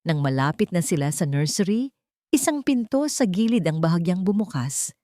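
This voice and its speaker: A woman's voice: lowish voice, sing-song, moderate pace, dark tone, dry recording, studio quality. A woman storyteller giving scripted, dramatic narration of a story.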